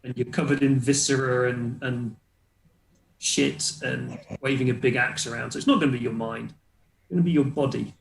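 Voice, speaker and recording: A man's voice: medium pitch, fairly even intonation, moderate pace, creaky, roomy sound, slight background noise, rough recording. A man speaking casually as game master, explaining rules and narrating scenes in a tabletop role-playing game.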